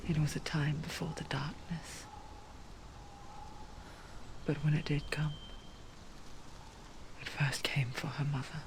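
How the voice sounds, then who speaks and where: An actress playing an intimate, ominous inner voice that tells a story in a breathy whisper for a dramatic video game. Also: female voice, low-pitched, flat delivery, slow, pauses often, noisy background. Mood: tender, wistful.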